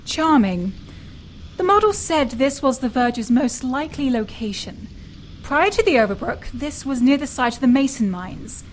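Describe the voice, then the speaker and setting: Lady acting prim young british woman, detective sidekick in audio drama, high voice, proud, bit of background noise. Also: big pitch swings, moderate pace, rough recording. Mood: dry, composed.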